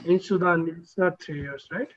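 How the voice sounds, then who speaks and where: A man who is a neurosurgery trainee, chatting on a video call and asking halting, filler-heavy questions. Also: fairly high pitch, lo-fi sound, background noise. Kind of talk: casual video call chat.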